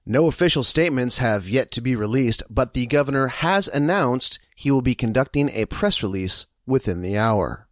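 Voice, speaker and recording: A man's voice: medium pitch, lively intonation, dry recording, natural voice. Man playing a serious news anchor, dry sound. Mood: grave, measured. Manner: measured, formal announcing. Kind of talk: news bulletin acted for audio drama.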